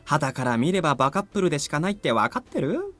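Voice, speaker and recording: An actor playing a breezy, grumbling teenage schoolboy friend in a Japanese visual novel. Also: male voice, on the high side, big pitch swings, racing, fairly bright tone, dry recording, soft background music. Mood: mock grumbling.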